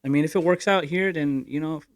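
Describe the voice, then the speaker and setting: A man's voice: on the high side, a little fast, dry recording, clean studio sound. A young man co-hosting a podcast in casual chat, rambling with many fillers. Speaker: podcast co-host.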